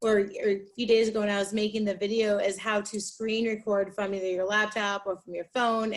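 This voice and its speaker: Woman w a loud voice on a webinar call from home, explaining stuff. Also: mid-range voice, low-quality recording. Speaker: panel guest. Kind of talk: casual webinar talk. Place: home room with windows. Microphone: video call.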